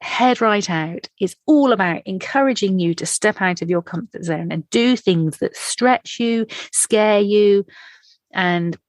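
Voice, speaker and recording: A woman's voice: lowish voice, no echo. A middle-aged British woman hosting a podcast, reading an enthusiastic introduction. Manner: enthusiastic, warm laughter. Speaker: podcast host. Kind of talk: scripted podcast introduction then interview.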